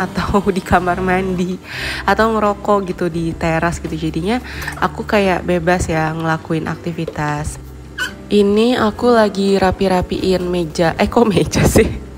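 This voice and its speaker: A young woman with a stuffy nose and a hoarse voice from a cold, chatting casually in a home vlog voice-over. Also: on the low side. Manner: chatty, friendly, with little laughs and fillers. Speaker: vlogger, homemaker. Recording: voice-over with clear background music.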